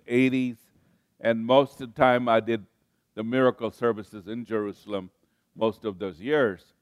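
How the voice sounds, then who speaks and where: An older man from Kenya delivers a sermon at an unhurried, deliberate pace. He restarts phrases and calls on the congregation to join in and respond. The sound is close and dry, with little room echo. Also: medium pitch. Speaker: pastor.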